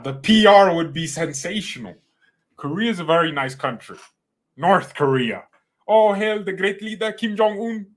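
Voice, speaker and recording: Guy streaming to his chat, rambly and jokey, gets loud out of nowhere. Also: on the high side, vocal fry. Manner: rambling, joking, loud sudden outbursts. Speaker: fan channel host. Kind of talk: casual livestream chat with viewers.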